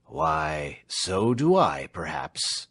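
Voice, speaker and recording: A languid, moody gentleman of classic fiction, acted by a male reader. He speaks slowly in a sardonic, impatient way, with plenty of movement in his pitch. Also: very animated, exaggerated voice. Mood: quietly defiant. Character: moody, impatient, debt-ridden gentleman client. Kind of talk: dramatised reading of classic fiction.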